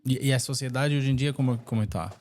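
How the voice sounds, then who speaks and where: A man's voice: mid-range voice, fairly quick, a little mellow. A Brazilian man hosting a casual podcast chat in an informal, slangy, joking way. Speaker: podcast host.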